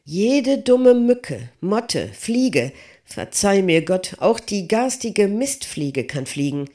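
A reader voicing a clumsy, childlike giant blacksmith who pleads loudly and desperately with his master. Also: medium pitch, voice pushed up. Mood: lamenting. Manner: raised, loud, desperate pleading.

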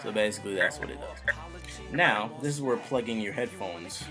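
A man's voice: fairly low pitch, animated, normal speed, over loud music. A young man hosting a casual computer tutorial, joking and talking straight to viewers. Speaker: YouTube tutorial host. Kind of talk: casual screen-recorded tutorial.

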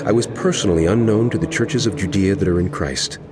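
An actor playing an impassioned apostle in a dramatized audio Bible, speaking in a solemn, emphatic, oratorical way. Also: male voice, deep voice, a little fast, over a noisy crowd, natural voice. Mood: earnest recounting. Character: impassioned apostle writing a stern, earnest letter. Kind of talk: dramatized scripture reading over music. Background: background music.

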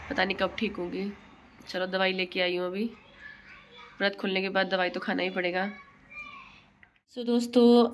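A woman in her thirties vlogging casually to her phone camera at home, chatty and addressing viewers as friends, with a hoarse throat from a cold. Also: mid-range voice, a bit bright, slight background noise. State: hoarse throat, feeling unwell. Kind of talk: casual vlog narration to camera. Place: home kitchen and living room, indoors. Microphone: phone at arm's length.

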